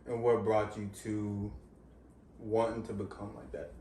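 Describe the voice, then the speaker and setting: A man's voice: fairly low pitch, level tone, measured pace, dark tone. A young man hosting a casual sit-down, asking probing follow-up questions from a couch in a living room, recorded by a far camera. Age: young adult. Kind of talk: casual interview. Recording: roomy sound from far off, faint hiss.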